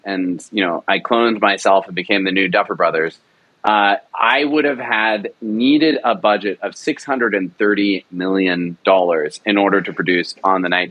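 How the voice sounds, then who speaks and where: A man's voice: on the low side, fairly bright tone, poor audio. A man producer talking in an interview, enthusiastic and rambling, with many restarts. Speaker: radio drama producer. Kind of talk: casual interview talk.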